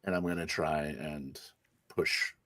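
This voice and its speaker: A man's voice: rumbling low, fairly even intonation, slow pace, bright, forceful tone, dry recording, clean studio sound. A man playing a tabletop roleplaying game, casually telling his character's actions in the first person.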